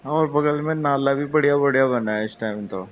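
A man's voice: medium pitch, normal speed, close to an everyday voice. A man playing a teasing, penny-pinching young husband-to-be chatting casually and hesitantly on a phone call. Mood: joking, reluctant. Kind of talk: acted intimate phone-call drama in casual chat. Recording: tinny phone line, faint noise.

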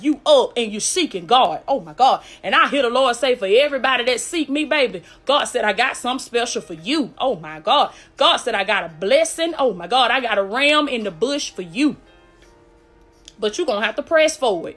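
A woman's voice: a little high, lively intonation, a little fast, a bit bright, faint music behind. A woman with a Southern US accent preaching a fervent livestream sermon in an emphatic, hyped, exhorting way, with repeated refrains. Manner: hyped, fired-up delivery.

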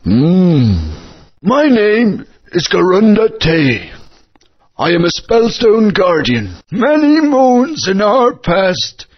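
A man's voice: high-pitched, exaggerated voice. An actor playing an old, fatherly dinosaur guardian in a video game, speaking in a grand, storytelling manner. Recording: dull lo-fi game audio.

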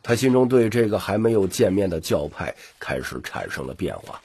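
Deep, very husky male storyteller. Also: big pitch swings, brisk, a little mellow. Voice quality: husky, gravelly. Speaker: audiobook narrator. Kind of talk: scripted dramatic storytelling narration.

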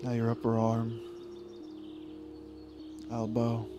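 A man leading a guided meditation in an informal, soothing way, with unhurried phrases and long pauses. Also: medium pitch, monotone, over music. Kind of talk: guided meditation, yoga nidra body scan.

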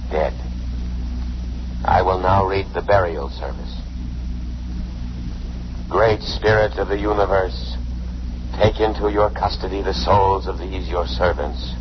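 A man playing a stubborn, patriotic alien lifeboat computer in a radio drama, with formal, measured, ceremonious delivery. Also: low-pitched. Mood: distressed pleading. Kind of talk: 1950s radio drama acting. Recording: muffled, noisy old radio recording.

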